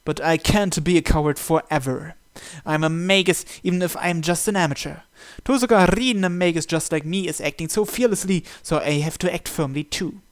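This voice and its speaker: A man with a somewhat high voice reads first-person story narration from a visual novel aloud. He delivers it as the host of a gaming series, working through the script line by line. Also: moderate pace, hardly any pauses.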